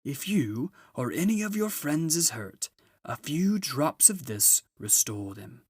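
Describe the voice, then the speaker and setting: A man voicing a big, solemn, kindly old gift-giver in a storybook, with slow, booming, grand proclamations. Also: very animated, a little mellow, husky, no room sound, studio quality, full character voice.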